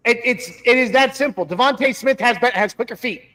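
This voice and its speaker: A man's voice: very high voice, a little fast, sharp tone. A man co-hosting a casual sports talk show, speaking emphatically and repeating words for stress.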